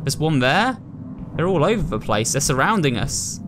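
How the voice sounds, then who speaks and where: A man's voice: a little high, very animated, moderate pace, noisy background. A British man giving chatty, casual commentary while playing a horror game, addressing his viewers as host. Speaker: gaming video host. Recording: voice over game music. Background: ominous game music.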